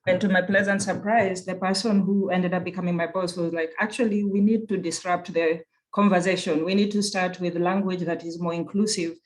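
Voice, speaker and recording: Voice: medium pitch, little pitch movement, brisk, rough recording. Kenyan-accented conference speaker, earnest. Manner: earnest, reflective, circles back to rephrase. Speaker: conference speaker, university program director. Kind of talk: virtual conference presentation.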